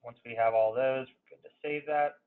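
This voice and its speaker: Over a thin, phone-like webinar line, a man walks through a software demo in an informal, conversational way. His intonation stays flat and his pitch sits in a middle range. He speaks with some hesitation and leans often on "you know". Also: moderate pace. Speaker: consultant presenting a software webinar. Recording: narrow phone-like webinar audio.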